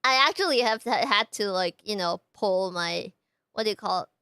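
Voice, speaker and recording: A young woman chatting casually on a live stream, bubbly and halting, with lots of fillers and restarts. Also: medium pitch, bright, forceful tone, clean tone, no echo, shouting, clean studio sound.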